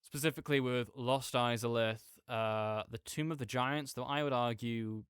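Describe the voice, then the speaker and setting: A man's voice: mid-range voice, steady tone, normal speed, fairly bright tone, clear, resonant tone, no room sound, studio quality, lightly acted. A man playing a chatty, nerdy roommate who rambles enthusiastically about a video game in a casual, digressive audio roleplay monologue. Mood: enthusiastic, opinionated.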